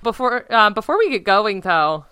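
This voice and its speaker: A woman co-hosting a casual podcast, animated and chatty, with a loud voice, filler-heavy talk and frequent laughs. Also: medium pitch, normal speed, clean tone, no echo. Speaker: podcast co-host.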